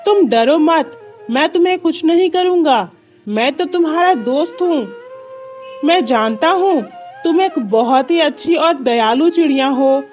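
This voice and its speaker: A high-voiced, kindly magical tree from a children's cartoon, played by a woman. It talks like an earnest, reassuring friend, explaining things patiently, with music playing behind. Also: lively intonation, unhurried, darkish tone. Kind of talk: children's cartoon story dialogue.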